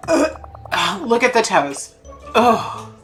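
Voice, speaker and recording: A woman's voice: fairly high pitch, big pitch swings, drawn-out words. Chatty gushing lady streaming a game, cracking jokes, music going in the background. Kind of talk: casual gameplay chat. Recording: game music playing behind.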